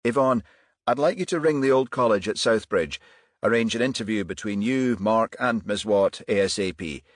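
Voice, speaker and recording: A man's voice: fairly low pitch, moderate pace, dry recording, voice pushed up, close to an everyday voice. Guy doing a bossy irritable detective, clipped orders, crime audiobook style, very dry sound. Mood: purposeful. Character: brisk, irritable male police detective in charge.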